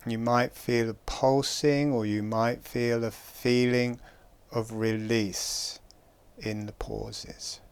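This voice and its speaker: A man guiding a breathing meditation, giving calm, gentle instructions with long pauses. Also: medium pitch, smooth. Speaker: meditation guide.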